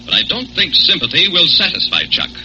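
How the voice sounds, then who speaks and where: An American actor playing a shrewd, commanding aviator hero in a 1940s radio adventure, measured and probing. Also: male voice, a little high, huge pitch swings, gravelly, exaggerated voice. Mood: probing, suspicious. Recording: noisy old 1940s radio recording.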